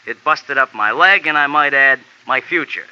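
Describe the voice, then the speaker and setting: A man's voice: on the high side, expressive, moderate pace, pushed and bright, clear, resonant tone. An actor playing a small, pompous, touchy male jockey who talks in a formal, stilted way, in 1950s radio drama style. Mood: earnest. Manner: formal, stilted, easily offended. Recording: lo-fi old radio broadcast.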